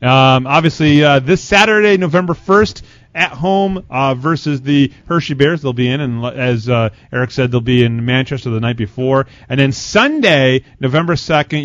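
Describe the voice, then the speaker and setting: A man's voice: mid-range voice, fairly bright tone, no echo. A man hosting a conversational hockey radio interview, chatty and wordy with a joking way about him. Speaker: sports radio show host, interviewer. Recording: dull, lo-fi radio sound.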